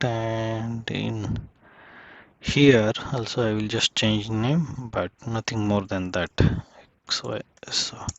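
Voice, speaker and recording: A man giving a casual step-by-step software tutorial, speaking in short, halting phrases as he works through the screen. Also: fairly low pitch, no echo, highs cut off.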